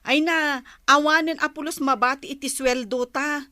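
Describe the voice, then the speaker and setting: An actress with an Ilocano Filipino accent playing an exasperated wife who nags about money in a comedy drama. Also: female voice, high-pitched, fairly even intonation, moderate pace, pushed and bright, no room sound, clean studio sound. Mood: complaining. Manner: complaining, exasperated. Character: nagging exasperated wife fretting over household spending.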